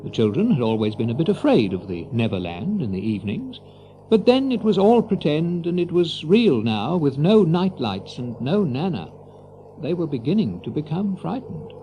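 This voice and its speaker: A man's voice: a little high, big pitch swings, moderate pace, background noise. A man reading scripted narration as the storyteller of a children's audio drama.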